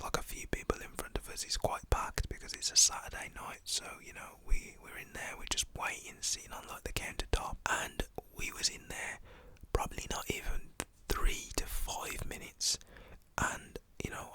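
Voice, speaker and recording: A young adult telling a story in a whispered, casual, slang-filled ASMR style.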